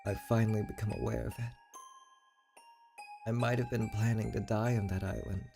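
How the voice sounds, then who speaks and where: A woman's voice: very deep voice, steady tone, normal speed, vocal fry. A woman voicing a solemn, haunted young woman in a game, brooding and resigned to fate. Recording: background music under the voice.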